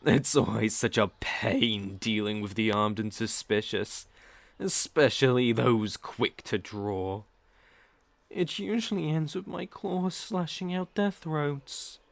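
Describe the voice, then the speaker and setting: A man's voice: mid-range voice, slow pace, creaky, dry recording. A man with a British accent playing a sadistic, predatory werewolf in an ASMR roleplay, theatrical and menacing, savoring his words. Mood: gloating, seductive. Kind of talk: ASMR roleplay audio drama.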